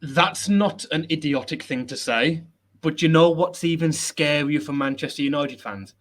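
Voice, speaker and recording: A man hosting a football chat show, chatty, energetic and self-deprecating, speaking without a headset at a laptop setup. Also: a little high, moderate pace. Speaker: host.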